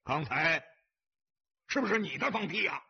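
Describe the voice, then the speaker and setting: Hot-tempered male gang boss, loud and swaggering, husky, wildly animated, audiobook villain, slightly dull recording. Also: on the high side, fairly quick, heavily acted. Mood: menacing. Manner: bellowing, boastful, taunting.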